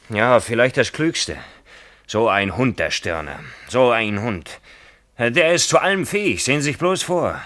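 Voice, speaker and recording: A sardonic man of the village in a German radio play. He is stubborn and dry, speaking in curt phrases. His intonation is very lively, rising and dropping sharply. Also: medium pitch.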